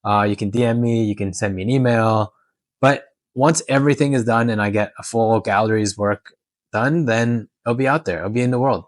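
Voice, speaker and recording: A man with a fairly low voice chats informally, as in a personal vlog answering questions. He is candid and unscripted, and the sound is dry and close with little room in it. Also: moderate pace, no echo. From Canada and Hong Kong. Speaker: tattoo artist and vlogger.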